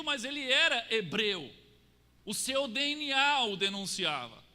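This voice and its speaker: A Brazilian man preaching a sermon, swinging between raised lines and low, quiet drops for emphasis. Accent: Brazilian Portuguese. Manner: rises and falls, drops low to stress points. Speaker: pastor. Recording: long reverb of a church hall.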